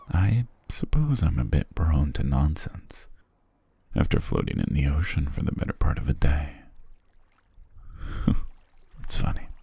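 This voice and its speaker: A man playing a sly, alluring male siren in an ASMR roleplay, speaking softly and coaxingly in a husky, half-whispered voice. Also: rumbling low, exaggerated voice. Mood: gentle, coaxing. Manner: slow, soft, coaxing. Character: alluring male siren posing as grateful castaway, soothing, sly.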